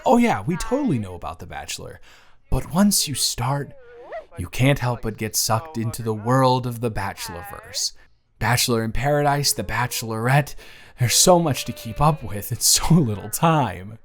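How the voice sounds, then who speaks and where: A man's voice: medium pitch, close to an everyday voice. A young man playing a teasing, affectionate best friend of someone's brother in a casual, slangy romantic roleplay audio drama.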